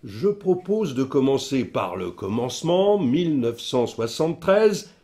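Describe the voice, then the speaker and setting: A man playing a solemn, slightly pompous local statesman who speaks in a measured, formal way. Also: medium pitch, sing-song, mellow. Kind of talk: scripted promotional dialogue.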